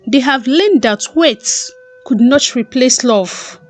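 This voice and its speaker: A woman narrating a scripted folktale as a storyteller, in a measured and deliberate manner with pauses between phrases. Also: high-pitched, animated, dry recording. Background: background music.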